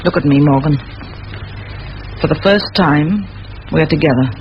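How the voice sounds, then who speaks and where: An actress playing a determined middle-aged schoolmistress in a vintage radio play, speaking in firm, measured, eloquent phrases. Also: female voice, sits low. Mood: stern, urgent. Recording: muffled old radio recording, faint hiss.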